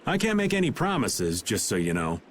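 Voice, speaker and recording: A man's voice: mid-range voice, wildly swooping pitch, moderate pace, a little hiss or hum. A man playing a roguish, easygoing middle-aged guild veteran in a video game, with a teasing, folksy manner. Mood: breezy, wry. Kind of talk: animated video game dubbing.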